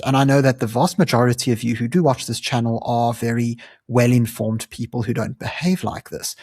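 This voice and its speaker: A man's voice: medium pitch, a little mellow, husky, no echo, studio quality. A South African man hosting his own channel, explaining things earnestly in a conversational way, with frequent ums and uhs. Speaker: channel host. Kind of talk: explanatory commentary to camera.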